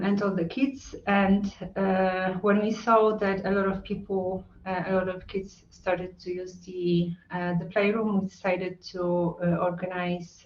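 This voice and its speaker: A woman on a video call, speaking as a with many ums and uhs. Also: fairly low pitch. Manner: halting, with frequent ums and uhs. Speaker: hub representative on a video call. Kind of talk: hesitant online talk with many fillers. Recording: roomy, distant, muffled call audio.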